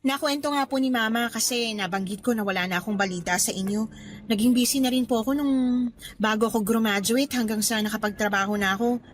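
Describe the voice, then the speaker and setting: Radio drama actress as a cheerful young graduate, high voice, courteous and respectful. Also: female voice, a little fast, close to an everyday voice. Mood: warm, reassuring. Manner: polite, deferential to an elder. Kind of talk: Tagalog radio drama dialogue.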